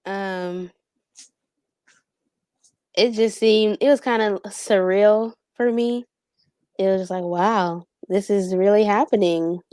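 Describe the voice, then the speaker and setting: A woman's voice: mid-range voice, slow, pauses often, a little mellow, clear, resonant tone, dry recording. A young woman on a discussion panel, talking in a hesitant, thoughtful way with many fillers and restarts. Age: young adult. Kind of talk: casual reflective discussion.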